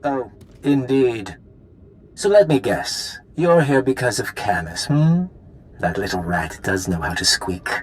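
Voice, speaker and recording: A man's voice: mid-range voice, sing-song, measured pace. An actor playing a smug male villain in a video game, urbane, theatrical and condescending. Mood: mocking menace.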